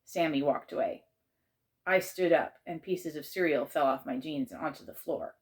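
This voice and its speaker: A woman reading a children's chapter book aloud in measured, expressive narration. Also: low voice.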